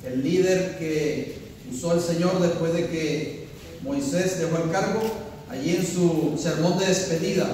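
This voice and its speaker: A man preaches a sermon, his voice ringing through a large hall with long reverb. He sits high in pitch and heard from a distance, swelling to emphatic peaks and quizzing his listeners. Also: a little mellow, a little hiss or hum. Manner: preaching with rising emphasis, asks the congregation questions. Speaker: pastor. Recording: echoey hall, voice from far off.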